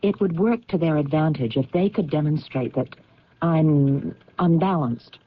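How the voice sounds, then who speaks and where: An insistent wife in radio drama, husky. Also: female voice, low voice, measured pace. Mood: earnest, insistent. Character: insistent married woman convinced her supposedly dead husband lives. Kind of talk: old-time radio drama acting. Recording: muffled old radio drama recording.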